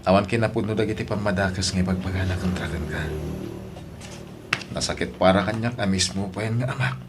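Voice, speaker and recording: A man's voice: on the low side, brisk, very noisy, close to an everyday voice. A man playing a troubled husband who earnestly defends his wife in a radio-style drama, with a Filipino accent. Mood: troubled, subdued.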